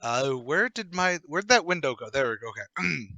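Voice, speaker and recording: A man narrates and hosts a radio drama read-through like a showy announcer. His voice is bright and forceful, swinging widely in pitch, with a dry, close sound. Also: big pitch swings, normal speed, no room sound. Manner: theatrical, old-time announcer showmanship. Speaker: host and narrator of an audio drama show.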